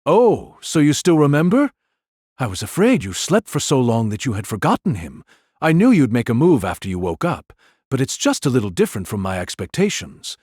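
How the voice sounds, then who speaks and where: Man acting a swooping, husky, sardonic warrior. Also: mid-range voice, huge pitch swings, fairly quick, a little mellow, no echo, clean studio sound. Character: sardonic warrior addressing an awakened enemy. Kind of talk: audio drama acting.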